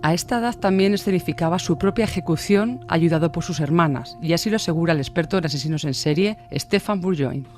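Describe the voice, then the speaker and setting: A male narrator tells a scripted true-crime story. His voice is fairly high, and faint music runs underneath. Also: fast, few pauses.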